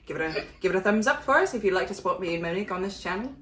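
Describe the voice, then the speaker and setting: Woman, co-host, playful chat, laughs a lot, bright animated tone, roomy echo. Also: mid-range voice, racing. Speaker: co-host of a book channel. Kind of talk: casual chat.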